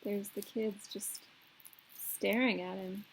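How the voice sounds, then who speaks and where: A woman's voice: mid-range voice, steady tone, slow, a little hiss or hum, rough recording. A woman hosting a children's storytime, reading aloud expressively in simple phrases for young listeners.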